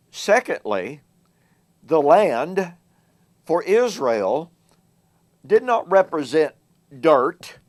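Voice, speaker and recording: A man hosting a Bible teaching show. He speaks in a measured way, slowing down and growing louder to stress key words. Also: a little high. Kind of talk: solo Bible teaching talk to camera.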